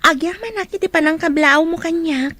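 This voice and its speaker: A woman's voice: very high voice, moderate pace, sharp tone. A woman playing a modest, bashful young student and dutiful daughter in a Filipino radio drama. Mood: shy, polite. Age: young adult.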